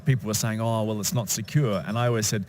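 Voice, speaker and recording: A man interviewing a speaker in a conference lounge, chatty and quick, with lots of short acknowledgements. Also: lowish voice, fairly even intonation, darkish tone.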